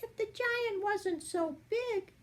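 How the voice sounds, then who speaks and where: Grandma doing a squeaky sneaky little fairy girl, way up high. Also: takes it slowly. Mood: scheming. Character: mischievous little female fairy.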